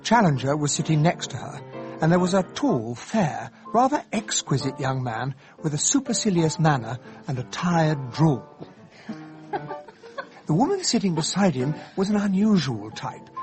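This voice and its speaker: Radio drama narrator: genial English gentleman, upper-class accent, lively pitch, earnest and courteous. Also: measured pace, over music. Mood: reflective narration. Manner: earnest, polite, slightly hesitant.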